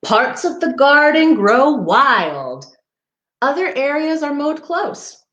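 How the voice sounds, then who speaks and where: Slow, lilting woman reading in echoey room. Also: high voice, highs cut off. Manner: expressive, addressing young listeners. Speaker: storybook reader for a parks program. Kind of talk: children's picture book read-aloud with chatty asides. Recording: roomy, echoing sound.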